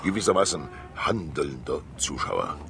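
A fat, wily old state councillor in a vintage radio crime play. His very low voice swings widely in pitch as he rambles theatrically and teases, on a slightly hissy old recording. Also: very animated, vocal fry, fairly bright tone, heavily acted. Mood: confiding, sly. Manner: theatrical, jovial, rambling, with pauses and asides. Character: fat, wily, talkative state councillor who loves teasing people. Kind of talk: 1960s radio crime drama acting.

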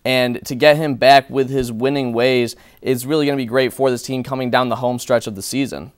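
A man's voice: mid-range voice, fairly even intonation, fairly quick, clean tone, no room sound. A young man working as a sports analyst in a small TV studio, breaking down games and players confidently and fluently. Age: young adult.

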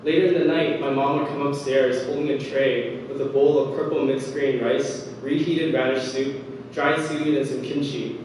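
A young man performing a spoken word piece on a dark stage, measured and dramatic, with pauses and voices for his mother and younger self. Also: medium pitch, fairly even intonation. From United States. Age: young adult. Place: dark stage under a single spotlight. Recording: echoey hall, distant mic, faint hiss.

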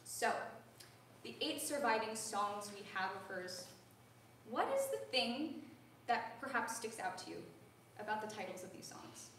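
A woman's voice: fairly high pitch, expressive, unhurried, a bit bright, recorded from a distance. A young woman giving a spoken lecture in a recital hall, earnest and conversational with frequent ums, recorded from a camera across the room. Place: large recital hall with a grand piano. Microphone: far-off room camera. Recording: echoey hall, heard from afar, faint hiss.

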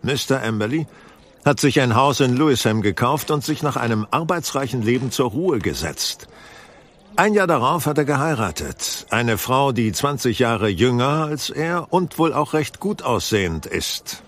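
An actor playing a composed, wry detective in an audio drama, explaining things in a measured, articulate way with dry irony. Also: male voice, mid-range voice, expressive, a bit bright, natural voice. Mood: measured, explanatory. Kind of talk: German audio drama acting.

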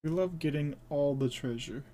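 A man's voice: a little high, normal speed, noisy background. A man streaming a game, giving casual live commentary. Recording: loud game music behind. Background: game audio and music.

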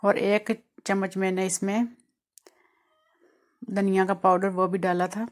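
A woman from Pakistan hosting a home cooking channel, calmly walking through each step of a recipe in a voice-over. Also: medium pitch, measured pace. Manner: calm, explanatory, walks through each step.

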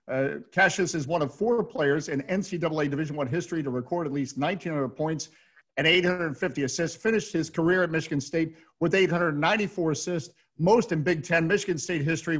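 A middle-aged American man hosting a question-and-answer interview over a video call, halting and repeating words as he goes. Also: a little high. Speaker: host, radio play-by-play announcer. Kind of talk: hosted Q&A interview. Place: indoor room at home, on a video call.

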